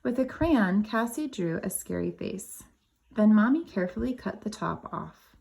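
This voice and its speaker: A woman's voice: mid-range voice, lively intonation, normal speed, gravelly, darkish tone, breathy edge. A woman teacher reading a picture book aloud to young children, adding simple explanatory asides.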